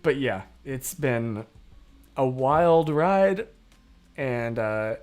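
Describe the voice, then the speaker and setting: Hoarse young man, rambling stream chat. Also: medium pitch, animated, very slow, a little mellow, faint music behind. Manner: rambling, loses his train of thought; laughs easily. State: hoarse, voice worn out. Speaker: livestream host. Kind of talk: casual livestream chat, answering comments.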